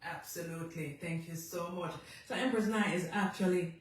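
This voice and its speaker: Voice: mid-range voice, normal speed. A radio host and DJ running an interview, hyped and repetitive, stumbling and laughing along. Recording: very echoey, distant mic, music behind.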